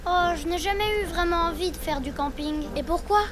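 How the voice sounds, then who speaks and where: A woman's voice: very high-pitched, a little fast, background noise. A woman playing plaintive, chatty little elephant children in a children's cartoon, with a childlike, whiny delivery. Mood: grumbling, chatty. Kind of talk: dubbed children's cartoon acting.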